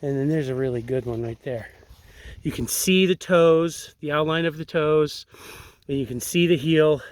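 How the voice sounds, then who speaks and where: Slightly raspy man explaining outdoors. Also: a little high, moderate pace. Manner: relaxed, rambling, filler-heavy explaining. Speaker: tracking instructor. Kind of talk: casual outdoor demonstration. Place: outdoors on sandy riverbank.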